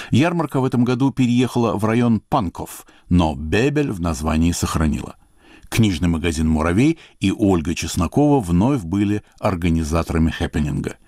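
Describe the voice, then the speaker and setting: A male host reading a measured, literary narration and then putting a question to a guest. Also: low-pitched, a little mellow, no room sound, studio quality. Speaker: radio program host. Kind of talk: scripted narration then interviewing.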